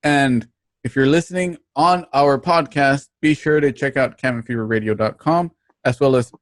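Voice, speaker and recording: A man hosting an online show, giving a casual, halting sign-off with plugs and thanks. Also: mid-range voice, dry recording, clean studio sound. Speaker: host of an online radio drama show.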